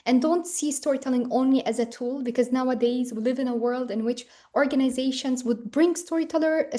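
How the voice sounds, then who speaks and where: Young woman guest on a webinar call, mellow kinda high voice, earnest, says like a lot. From Palestinian and Ukrainian background. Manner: earnest, reflective, fillers with "like". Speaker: guest speaker. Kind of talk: webinar interview answers.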